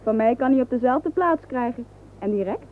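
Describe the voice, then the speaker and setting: A woman's voice: a little high, animated, normal speed, lightly acted. An actress playing a cheeky, brash young woman in a radio drama. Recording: muffled phone-like old radio recording, hissy.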